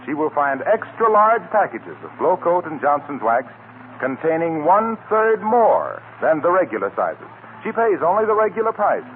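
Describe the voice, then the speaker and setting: Animated 1940s American radio announcer, male. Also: fairly high pitch. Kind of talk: 1940s radio advertisement reading. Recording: tinny 1940 radio broadcast, faint noise.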